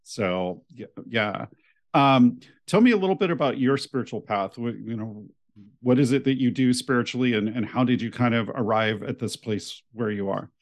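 A man's voice: mid-range voice, moderate pace. A man hosting a casual interview, speaking in an encouraging, curious, conversational way. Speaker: podcast host.

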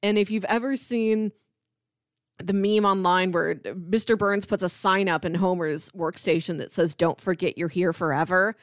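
A woman's voice: lowish voice, fairly even intonation, normal speed, no echo, studio quality. A woman co-hosting a casual podcast, explaining things informally with many asides. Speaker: podcast co-host. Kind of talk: casual chat about sitcom episodes.

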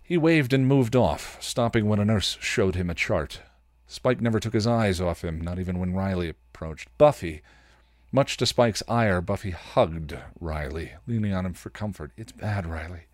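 A man's voice: low voice, expressive, normal speed, a little mellow, no echo, clean studio sound. A man hosting a podcast, reading fan fiction and chatting casually. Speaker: podcast host and reader.

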